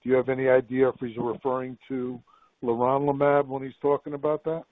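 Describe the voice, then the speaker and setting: A man's voice: medium pitch, little pitch movement, fairly quick, dry recording. A man calling in to a conference call to ask questions conversationally. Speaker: caller asking questions. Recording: dry, narrow phone line.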